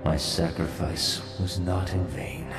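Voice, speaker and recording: An ancient temple guardian in a game, grave and stately. His low, slow voice rings from far off in a long reverb. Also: level tone, over music. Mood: solemn, weary. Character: ancient solemn male guardian spirit of a temple. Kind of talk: dramatic video game acting. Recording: distant, echoing hall reverb.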